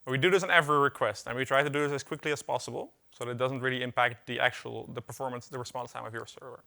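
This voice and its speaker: Young dutch guy doing a tech talk, bright tone, casual, lots of uhs and dry jokes. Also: medium pitch, steady tone, very fast, gravelly. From Netherlands. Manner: casual, filler-heavy, wry asides. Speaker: conference speaker. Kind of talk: technical conference talk. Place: conference hall.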